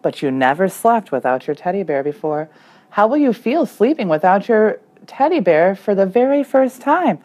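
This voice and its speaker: Storybook role: a woman plays a teasing big sister, bossy and probing, with lively swooping pitch. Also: moderate pace. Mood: needling. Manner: needling, probing questions. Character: bossy teasing older sister, young girl. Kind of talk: children's picture book read aloud.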